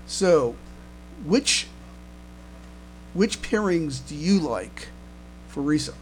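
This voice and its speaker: A man hosting a casual podcast discussion, speaking haltingly and repeating words, over a noisy internet call. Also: a little high, lively intonation. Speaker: podcast host.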